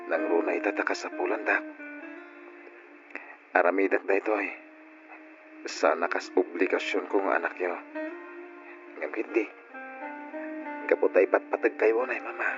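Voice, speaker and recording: An actor playing a devoted, patient grown son in a radio drama, speaking earnestly and sentimentally. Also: male voice, low voice, close to an everyday voice. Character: devoted, patient, self-sacrificing adult son caring for mother. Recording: dull lo-fi radio drama recording. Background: guitar music.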